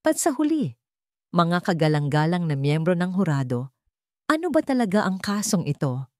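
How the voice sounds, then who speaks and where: A woman's voice: lowish voice, sing-song, moderate pace, dry recording, clean studio sound. A woman voicing an arrogant male defense attorney who pleads grandly to a jury in a drama story. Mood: pompous pleading.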